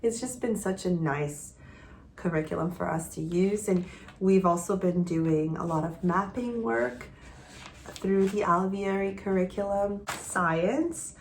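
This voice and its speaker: A woman in her thirties from Quebec, a homeschooling mother giving a casual, gentle update that sometimes rambles while she searches for words. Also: mid-range voice, a little slow, slight background noise. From Quebec, Canada.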